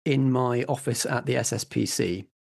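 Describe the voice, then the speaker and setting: A man's voice: medium pitch, normal speed, vocal fry. A man playing a curt, controlling official in an improvised tabletop roleplay, speaking in clipped orders. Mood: firm, insistent. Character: curt, controlling male official detaining a nosy stranger.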